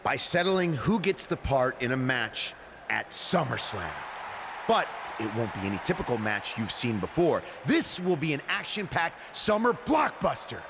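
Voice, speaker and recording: A man's voice: medium pitch, sing-song, normal speed. A man playing a showboating wrestler in a video game, delivering a grandiose, theatrical promo with noise in the background. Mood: slick showmanship. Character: showboating male wrestler pitching matches like movie blockbusters.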